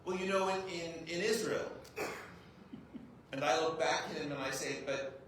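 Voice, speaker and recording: A rabbi joking with a crowd in an echoey hall. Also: male voice, a little high, normal speed, a bit bright. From United States. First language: English. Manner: conversational, self-deprecating humor, frequent "right?" check-ins. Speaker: rabbi giving a community talk. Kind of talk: informal public talk to a group. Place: large echoey room with an audience. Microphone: clip-on mic, heard from a distance. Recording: very echoey hall, distant mic, faint noise.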